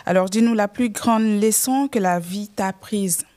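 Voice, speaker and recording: Casting: podcast host, mid-range voice, brief prompts, lots of quiet listening noises. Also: medium pitch, moderate pace. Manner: brief listening backchannels, asks short questions. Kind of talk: casual interview.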